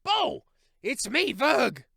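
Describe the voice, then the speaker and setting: A man voicing a grieving young sailor mouse who shouts high and strained. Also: big pitch swings, normal speed, dry recording, clean studio sound. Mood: tearful.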